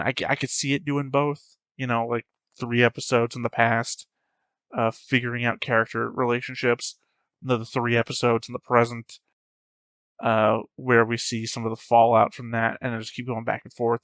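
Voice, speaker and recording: A man giving unscripted reaction commentary as a host, rambling as he thinks out loud. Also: mid-range voice, no room sound.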